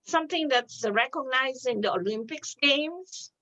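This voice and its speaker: A woman's voice: sits high, expressive, a little slow, bright, forceful tone. A woman interviewer asking curious questions, with some hesitation and fillers. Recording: dull lo-fi sound.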